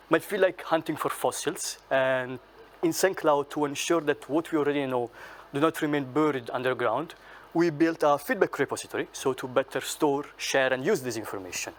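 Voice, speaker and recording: A man's voice: medium pitch, a little hiss or hum. A man from southern Italy with an Italian accent, a UX designer giving an enthusiastic, explanatory lightning talk at a meetup. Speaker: UX designer, speaker. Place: meetup venue, live audience and online stream.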